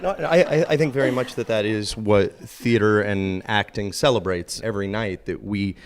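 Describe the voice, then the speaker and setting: Emphatic man with a lowish voice. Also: normal speed, slight background noise. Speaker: stage actor. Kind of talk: live panel talk.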